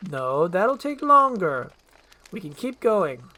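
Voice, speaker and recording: Casting: woman to play male ogre, reluctant rescuer, exasperated, dry sarcasm, animated delivery for a storybook comedy. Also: fairly low pitch, takes it slowly, exaggerated voice. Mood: dry, sardonic.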